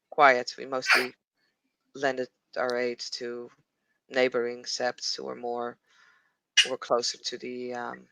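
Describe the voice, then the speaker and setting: A Dutch-accented man voicing an earnest female werewolf raised in a quiet pack, speaking in a measured, formal way and pausing to find his words. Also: mid-range voice, vocal fry, heavy background noise. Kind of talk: in-character roleplay.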